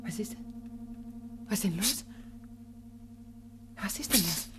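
Worried young daughter in a radio play, lively pitch, asks stuff, noisy recording. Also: female voice, measured pace. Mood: frightened. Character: professor's young daughter, anxious, helpful, questioning. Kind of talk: German radio play acting.

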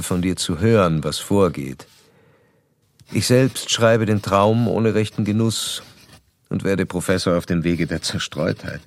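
A low, creaky-voiced, formal psychoanalyst. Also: male voice. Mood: reflective, literary. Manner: formal, measured, clinical. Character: formal, probing male Viennese psychoanalyst of early 1900s. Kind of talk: German radio drama, letters and dialogue.

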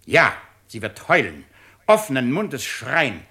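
An actor playing a shrewd, pompous, mocking presiding judge in a 1950s radio play. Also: male voice, medium pitch, wildly swooping pitch, slow pace, sharp tone, slightly rough, full character voice. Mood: stern, menacing. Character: shrewd, sardonic male presiding judge of a French tribunal.

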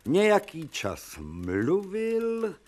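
An actor playing an authoritative, ironic professor of medicine, lecturing and declaiming in a radio drama. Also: male voice, high-pitched, wildly swooping pitch, a little mellow. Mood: dry, authoritative.

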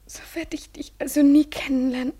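An actress in a literary German radio play playing a tender, devoted woman in love, fragile and imploring, often breathy and near a whisper. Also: sits high, steady tone, brisk, warm tone, lightly acted. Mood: hurt, questioning.

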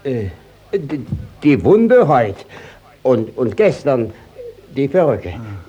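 A man playing a shifty, battered village judge in a German radio play, blustering and stammering evasively with a husky voice. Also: medium pitch, sing-song, takes it slowly, dark tone, lo-fi sound. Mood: flustered, blustering. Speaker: actor in a radio drama.

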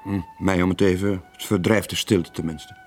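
An actor playing a weary, disillusioned middle-aged former sailor in a radio drama, terse and resigned. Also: male voice, deep voice, fairly even intonation, moderate pace, darkish tone, soft background music, lightly acted. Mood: indifferent. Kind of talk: 1980s Flemish radio drama acting.

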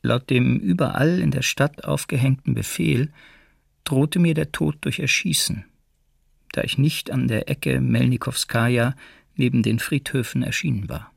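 A troubled man in hiding recalls his life in a radio documentary drama. His mid-pitched voice is husky and full of creak, sober and reflective in a dry studio. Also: measured pace, no room sound, full character voice. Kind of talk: documentary radio play, memoir reading.

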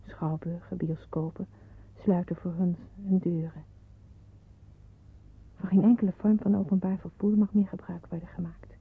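An actress playing a grave young woman narrating a radio drama in the first person, hushed and measured. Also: lowish voice, level tone. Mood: grave, somber. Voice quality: very breathy, hushed. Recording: muffled phone-like old radio recording.

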